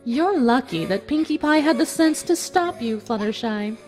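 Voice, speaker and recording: A woman voicing a cruel female villain pony in a dramatic fan fiction reading, deliberate and mockingly sympathetic. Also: fairly high pitch, animated, natural voice. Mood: coolly mocking. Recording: music behind the voice.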